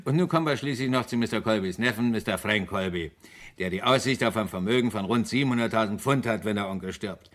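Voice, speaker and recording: Man as methodical inspector, fairly low voice, formal, courteous, measured, radio crime play. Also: close to an everyday voice. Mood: deliberating. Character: measured, probing male British police inspector.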